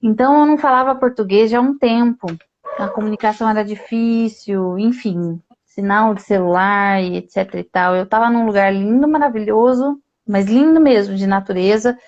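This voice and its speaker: A woman from Brazil hosting a live-streamed conversation over a video call, telling a personal story in a lively way. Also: mid-range voice, normal speed, muffled recording. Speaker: host.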